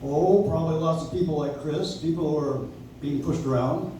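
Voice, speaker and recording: A man's voice: a little high, brisk, dark tone, natural voice. Male actor as sincere, pleading young lawyer for live radio drama. Heard distantly in a very reverberant room. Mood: earnest, hurt. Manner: earnest, pleading. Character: earnest, idealistic young lawyer and suitor. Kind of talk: old-time radio play acting on stage. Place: live stage in a coffee house. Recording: very echoey, distant audience recording, faint noise.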